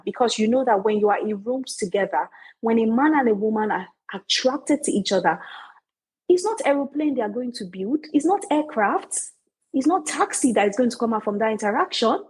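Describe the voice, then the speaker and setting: Nigerian woman, author guest; husky, emphatic, playful. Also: fairly high pitch, darkish tone, low-quality recording. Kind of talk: animated podcast interview.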